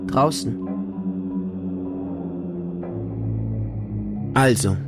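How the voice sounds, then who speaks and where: An actor playing a wounded, innocent boy who tells of his childhood in a radio drama, in simple, deliberate, repeated phrases. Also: medium pitch, over loud music, lightly acted. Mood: quietly wistful. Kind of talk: radio drama monologue, storytelling.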